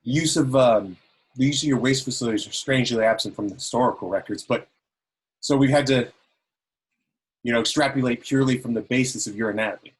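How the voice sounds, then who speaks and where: A man's voice: medium pitch, a little fast, breathy edge, lightly acted, rough recording. A man playing a humble, earnest young alien escort in a formal, deferential way, in an amateur sci-fi table read. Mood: proudly earnest.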